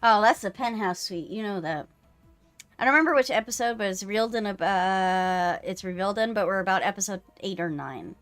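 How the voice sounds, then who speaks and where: A woman chatting casually on a live game stream, playful, teasing and quick to swear, with music behind her. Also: mid-range voice, fairly bright tone. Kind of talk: casual banter while live streaming a visual novel.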